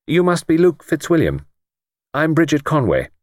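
A man's voice: a little high, huge pitch swings, moderate pace, no echo, clean studio sound, voice pushed up. A man voicing a self-possessed young English woman in an audiobook, with a poised, sociable, gracious hostess manner. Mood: brisk, welcoming. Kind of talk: audiobook character dialogue.

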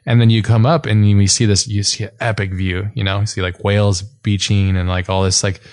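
A man's voice: fairly low pitch, flat delivery, brisk, a little mellow, no echo, clean studio sound. A man from Hawaii hosting a podcast, telling stories with enthusiasm, swearing and using slangy words. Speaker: podcast host. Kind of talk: casual chat.

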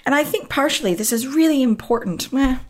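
A woman hosting a podcast with a croaky voice, talking in a chatty, self-interrupting way and laughing at herself. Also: high-pitched, animated, brisk. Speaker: podcast host. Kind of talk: casual solo podcast talk.

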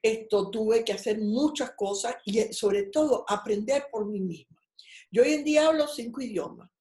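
A woman's voice: a little high, normal speed, mellow, reverberant. A Cuban-born older woman, a guest on a call, telling her life story in a rambling, personal way with many restarts. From Cuba. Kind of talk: casual interview over a call.

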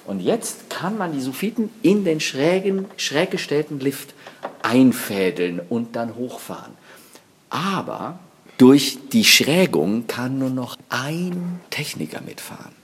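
A man explains things step by step like a backstage guide, with lively swings in pitch. He is recorded in a long, reverberant hall with clear noise behind him. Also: slow. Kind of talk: explanatory anecdote on location. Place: large reverberant space inside a theatre.

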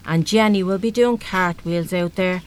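A woman's voice: fairly low pitch, moderate pace, clear, resonant tone, close to an everyday voice. An actress with an Irish accent playing a brash, big-hearted, uneducated working-class mother in a live radio play, talking bluntly and colloquially with mild swearing. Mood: enthusiastic, pushy.

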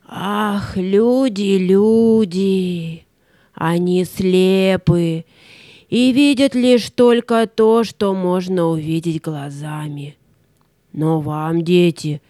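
Casting: actress as kindly old fairy for an audio play, mellow, measured delivery, mysterious air. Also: female voice, lowish voice, no echo, clean studio sound. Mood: lamenting, mysterious. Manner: slow, deliberate, theatrical.

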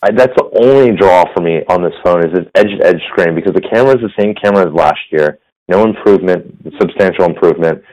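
A slightly hoarse man hosting a casual tech podcast over a phone line, rambling with fillers and restarts. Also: deep voice, quick pace, darkish tone. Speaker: podcast host. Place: business center. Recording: phone-quality audio.

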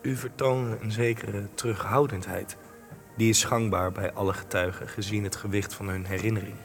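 A low-voiced interviewer, echoing hall. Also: male voice, steady tone, normal speed, creaky. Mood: polite, insistent. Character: polite, insistent male documentary interviewer. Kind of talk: radio drama acting. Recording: long hall reverb, faint music.

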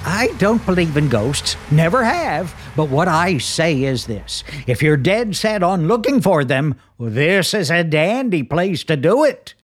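An actor playing a brash, joking skeptic of a disc jockey in an old radio drama. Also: male voice, on the high side, big pitch swings, unhurried, few pauses. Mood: flippant. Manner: flippant, joking, chatty. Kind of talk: 1940s radio acting, live broadcast patter. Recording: 1940s radio drama recording with music.

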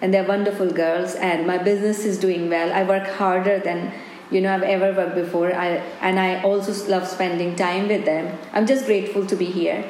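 A woman's voice: fairly low pitch, little pitch movement, normal speed, darkish tone, a little hiss or hum. A woman in her forties from India with an Indian accent, a business owner answering interview questions in an earnest, reflective way with many "you know"s. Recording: roomy, with faint background ambience.

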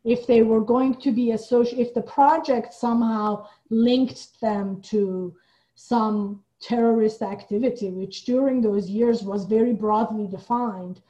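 A woman from Iran speaking as a guest in a reflective interview, thoughtful and halting, often checking in with the listener. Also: fairly high pitch, fairly even intonation, warm tone, lo-fi sound. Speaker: podcast guest, playwright and theater director.

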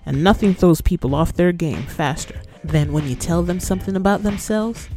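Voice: medium pitch, moderate pace, music in the background. A podcast host narrating a scripted educational piece in a playful, conversational way.

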